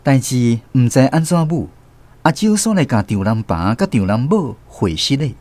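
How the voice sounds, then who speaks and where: An actor with a Taiwanese accent narrating a family tale as the storyteller in a radio drama. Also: male voice, mid-range voice, sing-song, normal speed, a little mellow, dry recording, lightly acted.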